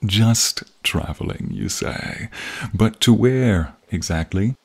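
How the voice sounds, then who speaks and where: Man playing a slow, gravelly, seductive dark archmage. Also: lowish voice, full character voice. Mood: teasing, probing. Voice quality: husky, breathy, gravelly. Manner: slow, teasing, smug, drawn-out murmurs. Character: alluring, menacing male archmage, smugly toying with captive. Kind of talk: ASMR fantasy roleplay.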